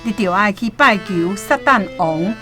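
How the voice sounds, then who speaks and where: A woman's voice: medium pitch, lively intonation, a little slow, over music, lightly acted. A woman playing a sly old roadside guide who coaxes and persuades, in a Taiwanese radio drama. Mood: coaxing. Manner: coaxing, persuasive.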